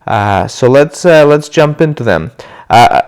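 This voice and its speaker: A man's voice: medium pitch, measured pace, smooth. A man with a stuffy voice from a cold, talking casually to the camera and hosting his own channel about recovery. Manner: relaxed, loose, with many uh fillers and restarts. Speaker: video host sharing his recovery.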